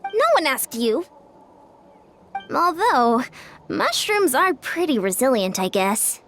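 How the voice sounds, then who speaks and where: An actress voicing a bold, excitable young woman in a video game, exclamatory, blunt and sassy. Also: sits high, slow pace, heavily acted. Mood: exasperated. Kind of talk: animated video game voice acting. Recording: game music under the voice.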